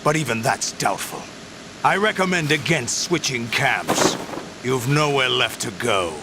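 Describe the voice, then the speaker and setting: An actor playing a cold, imperious villain commander in a video game, with a gravelly voice and deliberate, commanding delivery. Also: male voice, mid-range voice, animated, noisy background, natural voice. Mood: stern. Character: imperious, calculating male mastermind commanding a mercenary army. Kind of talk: video game cutscene acting.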